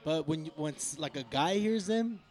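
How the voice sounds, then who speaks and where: A man's voice: a little high, expressive, moderate pace, a little mellow. A young man hosting a podcast, talking in loose, slangy, profane banter. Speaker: podcast host.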